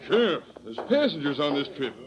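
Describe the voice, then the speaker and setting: A crusty, grumbling boss of a western stagecoach office, speaks in a folksy, irritable drawl. His voice sits a little high, acted in 1930s radio style on a dull, lo-fi recording. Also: sing-song, brisk, noisy background. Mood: alarmed.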